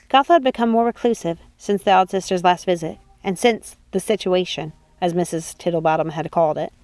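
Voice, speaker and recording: A woman's voice: medium pitch, darkish tone, no echo. A woman reading scripted storybook narration as an audiobook narrator.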